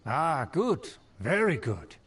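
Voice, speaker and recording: An actor playing a pompous, haughty aristocrat in a video game, with formal, flowery speech. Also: male voice, on the high side, sing-song, takes it slowly, a bit bright, slight background noise, exaggerated voice. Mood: condescending approval. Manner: pompous, formal, flowery old-fashioned wording. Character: pompous, haughty aristocratic uncle of a disgraced clan. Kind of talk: video game character acting. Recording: game music behind the voice.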